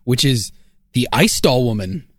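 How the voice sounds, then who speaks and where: A man co-hosting a podcast, cracking deadpan jokes in a dry, laid-back way. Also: mid-range voice, unhurried, dry recording. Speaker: podcast co-host. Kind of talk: casual comedic chat.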